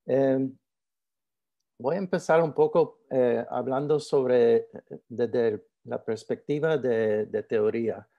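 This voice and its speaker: A man from Rico, giving an academic talk on a video call, halting with many fillers and restarts. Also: medium pitch, dull audio. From Puerto Rico. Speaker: guest speaker. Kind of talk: academic presentation.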